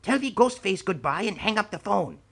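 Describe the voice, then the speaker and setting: A man's voice: high-pitched, lively intonation, normal speed, creaky, fairly bright tone, husky, pitched above the performer's own voice, heavily acted. A man voicing an ancient male martial arts master who speaks in ornate, courtly phrases. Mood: impatient.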